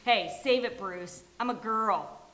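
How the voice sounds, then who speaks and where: A woman reading a rough-edged, abrasive female boss at a live sitcom table read in a roomy, hissy space. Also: mid-range voice, slow, fairly bright tone. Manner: brusque, abrasive. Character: Madge, rough-edged, foul-mouthed bulldog of a woman boss. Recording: roomy live venue, hiss.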